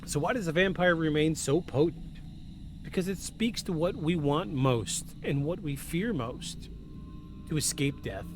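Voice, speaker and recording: Higher-voiced man podcasting over music. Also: lively intonation, measured pace. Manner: effusive, repeats himself for emphasis. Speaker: podcast host. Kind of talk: live-streamed paranormal podcast, scripted narration mixed with casual asides. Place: at home.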